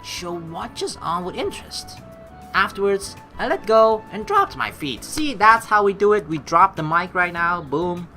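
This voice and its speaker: A woman's voice: mid-range voice, animated, a little slow, gravelly, bright, forceful tone. A woman doing a playful let's play, reading game narration aloud and adding teasing, jokey asides. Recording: music behind the voice. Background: game music.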